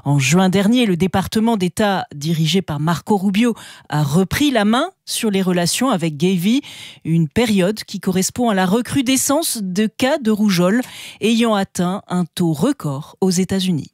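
A woman correspondent delivering a news report in a clear, steady way. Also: mid-range voice, normal speed, dry recording, studio quality.

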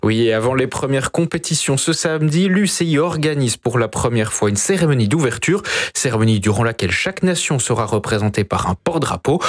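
Présentateur sportif belge, ton posé. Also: male voice, medium pitch, husky. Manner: conversational, with fillers. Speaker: sports news presenter. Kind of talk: radio sports bulletin.

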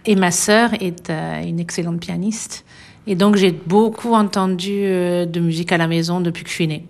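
Middle-aged woman, interviewee, lowish voice, reflective, pauses often. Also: fairly even intonation, darkish tone. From France. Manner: thoughtful, hesitant with many pauses, light laughs. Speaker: interviewee, violinist. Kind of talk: relaxed radio interview.

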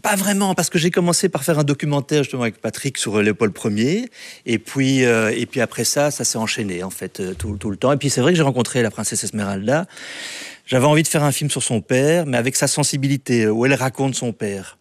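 A man guest talking about his documentary work, hesitant, with many restarts and a voice that trails off softly. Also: mid-range voice, expressive, brisk, no echo. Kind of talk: casual interview answers.

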